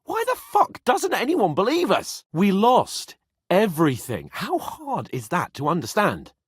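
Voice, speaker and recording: An actor playing a proud, hot-headed young nobleman in a video game, with a theatrical, sharp-tongued manner. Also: high voice, huge pitch swings, a little slow, slightly rough, no echo, clean studio sound, full character voice. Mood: exasperated, angry. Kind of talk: dramatic video game voice acting.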